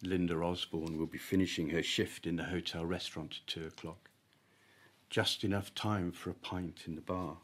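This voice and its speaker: A man with a fairly low voice reads his own short story at a live literary event. His phrasing is deliberate and emphatic, timed for wry comic effect. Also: a bit bright. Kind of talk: live dramatic story reading.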